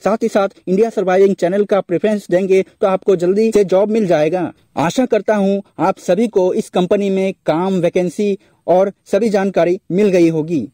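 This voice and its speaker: High dark-toned man in a dry voice-over. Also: steady tone, normal speed, dry recording. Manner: repeatedly addresses viewers directly. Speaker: job information channel host. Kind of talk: voice-over explainer.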